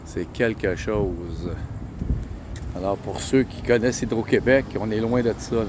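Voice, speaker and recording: A man's voice: fairly low pitch, measured pace. A man with a Quebec French accent, chatting casually to his camera while walking through city streets at night. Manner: chatty, points things out to viewers while walking. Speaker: travel vlogger. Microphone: handheld camera. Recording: outdoor street noise behind the voice. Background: traffic and street noise.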